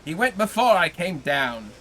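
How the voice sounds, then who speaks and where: A man reading aloud plays a blustering, envious neighbor from a storybook, all gossip and grudges. His voice comes out bright and edgy as he complains. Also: high voice, big pitch swings, normal speed, a little hiss or hum, voice pushed up, heavily acted.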